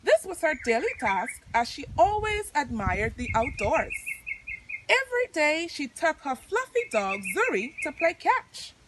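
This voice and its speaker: Woman, children's storyteller, very lively intonation, high voice, outdoor recording, clear birdsong in the background. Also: measured pace, background noise. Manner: addresses children directly, expressive. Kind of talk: storytelling read aloud for children. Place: outdoors in nature. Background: chirping birds.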